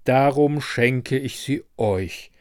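Storybook Father Frost type read by a man: proud elderly suitor, mid-pitched, measured and courtly. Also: darkish tone, lightly acted. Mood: solemn wooing. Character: proud old winter lord, courtly suitor.

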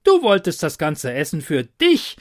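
A man voicing a scrawny, bossy male court jester in a storybook reading, with a high, mocking put-on voice. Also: huge pitch swings, unhurried.

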